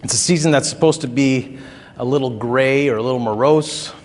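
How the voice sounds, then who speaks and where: A man preaching a sermon as pastor, his throat still a bit hoarse, telling stories in a relaxed, conversational way with frequent fillers. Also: medium pitch, fairly quick, long reverb tail. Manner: conversational, folksy storytelling with filler words. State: recovering throat, slightly hoarse.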